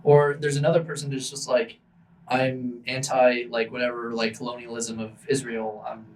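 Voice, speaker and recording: A man's voice: medium pitch, little pitch movement, moderate pace, far from the mic, slight background noise, low-quality recording. A young man in casual podcast chat, a university student with easygoing, slangy talk. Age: young adult. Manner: easygoing, slangy reactions, thinks aloud.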